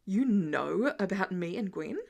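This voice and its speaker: A woman's voice: medium pitch, animated, measured pace, creaky, a little mellow, voice pushed down. Woman as smug teen boy heir, self-assured and teasing, for story narration. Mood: startled. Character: smug, protective teenage boy, rich heir.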